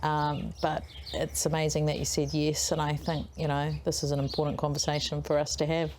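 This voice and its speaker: A woman hosting an interview, candid and gentle in her questions. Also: deep voice, little pitch movement, a little fast, a little hiss or hum. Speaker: interview host.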